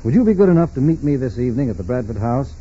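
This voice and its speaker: An actor playing a calm, probing FBI agent in a 1940s radio drama, questioning in a businesslike, matter-of-fact way. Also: male voice, mid-range voice, rapid-fire, slightly rough. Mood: calm, pointed. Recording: muffled, noisy 1940s radio recording.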